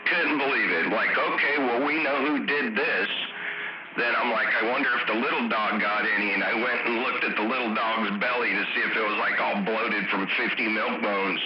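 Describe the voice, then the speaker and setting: A man's voice: fairly low pitch, normal speed, loud music behind the voice. An American man chatting casually over CB radio, telling stories in profane, slangy language. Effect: CB radio transmission. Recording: dull, very noisy, echoey CB radio.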